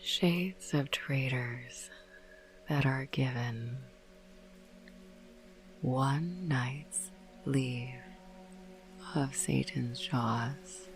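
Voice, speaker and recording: A woman narrating a bedtime ASMR podcast in a hushed, breathy whisper, soothing and unhurried. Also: extremely low pitch, faint music behind. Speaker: host of a sleep podcast.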